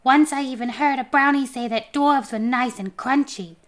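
Woman as a haughty, high-pitched, hissing little homunculus. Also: normal speed, lightly acted. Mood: brisk. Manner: hissing, scolding, imperious. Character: tiny spidery male homunculus, haughty, sly, bossy schemer. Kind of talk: children's fantasy storybook reading.

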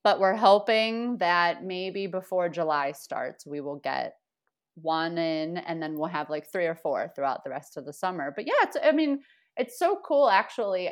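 A woman in her thirties chatting casually on a local podcast, talking freely and laughing easily. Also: lowish voice. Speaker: podcast guest. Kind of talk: casual chat.

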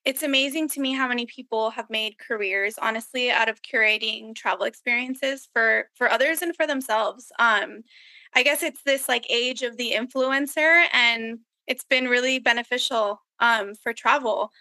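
A woman's voice: fairly high pitch, normal speed, bright, forceful tone, no echo, low-quality recording. An American woman talking casually as a podcast co-host. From Miami, USA.

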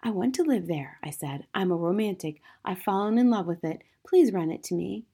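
A woman playing a gentle, modest young schoolteacher in a storybook, confiding in a soft, restrained way with quiet resilience. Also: medium pitch, expressive, moderate pace, slightly rough. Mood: quietly proud.

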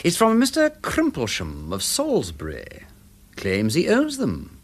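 A man playing a breezy upper-class English gentleman detective in a radio drama, jaunty and drawling with period slang. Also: medium pitch, huge pitch swings, a little slow, a little hiss or hum. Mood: breezy, cheerful.

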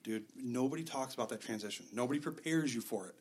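A man's voice: medium pitch, fast talker, breathy edge. A man in his thirties co-hosting a podcast, telling profane, joking stories with lots of energy. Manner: joking, profane, animated storytelling. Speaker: podcast co-host. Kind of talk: casual chat.

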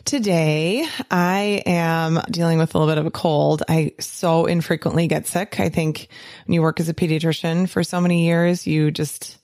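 A woman hosting a podcast from a small home office, chatting casually and laughing often, her voice a little hoarse from a cold. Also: low voice, fairly even intonation, normal speed, a little mellow, dry recording, studio quality. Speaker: podcast host.

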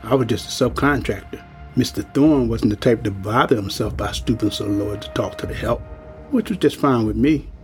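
A man's voice: mid-range voice, normal speed, faint music behind, lightly acted. An actor playing a world-weary old working-class man in an audio drama, speaking in a folksy, plain-spoken, ominous way. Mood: grave, reflective.

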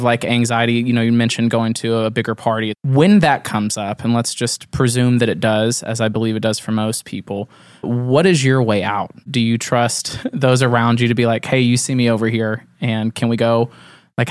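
A man's voice: mid-range voice, fairly even intonation, moderate pace, clear, resonant tone, dry recording. A man in the host's chair, talking in an earnest, winding way, full of "like" and "you know." His voice sits mid-range and sounds dry and close-miked. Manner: earnest, rambling questions with many fillers. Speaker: podcast host. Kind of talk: casual interview chat.

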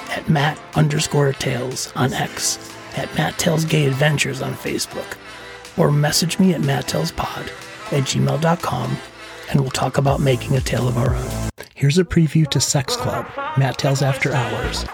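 A middle-aged man with a husky, gravelly voice, narrating scripted first-person stories as a podcast host. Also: mid-range voice. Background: faint music.

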